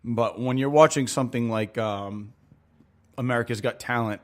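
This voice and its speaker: A man's voice: mid-range voice, normal speed. A man co-hosting a podcast, chatting casually in a rambling way full of fillers. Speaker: podcast co-host. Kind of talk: casual chat.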